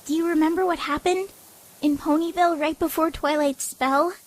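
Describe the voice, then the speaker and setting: A woman's voice: extremely high pitch, steady tone, moderate pace, voice pushed up. A woman voicing a scared, injured young female pegasus pony in an audio drama, whimpering and pleading. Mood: shaken, dread. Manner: whimpering, pleading, hushed at times.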